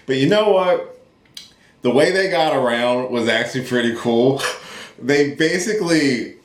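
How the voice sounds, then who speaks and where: Animated man rambling in an echoey room. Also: medium pitch. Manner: emphatic and enthusiastic, informal with mild swearing. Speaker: host of a geek commentary channel. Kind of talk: casual unscripted rambling commentary.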